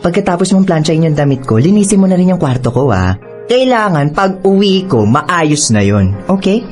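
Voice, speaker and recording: A spoiled young radio-drama mean girl, swooping dramatically through her pitch. She gives haughty, slangy Taglish commands and taunts, with music underneath. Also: big pitch swings, normal speed, darkish tone. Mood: haughty, threatening. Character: spoiled, mocking young woman bossing her housemaid cousin.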